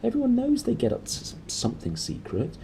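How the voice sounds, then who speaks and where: A man voicing a curious, stubborn young girl from a storybook, insistent and hushed. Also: very high-pitched, wildly swooping pitch, brisk, gravelly, a little hiss or hum, voice pushed up, exaggerated voice. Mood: defensive, curious.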